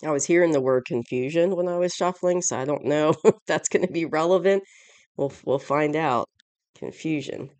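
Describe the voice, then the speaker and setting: A tarot reader with a raspy voice, hoarse and stuffed up from a cold, giving a casual reading and often searching for words mid-sentence. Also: mid-range voice, moderate pace, a little mellow. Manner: rambling, searching for words with many restarts; chuckles often while explaining.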